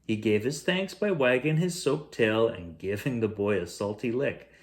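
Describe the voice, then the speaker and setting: Man reading a bedtime story, lively intonation. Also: on the low side, moderate pace. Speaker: bedtime story reader, host. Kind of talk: reading a children's picture book aloud.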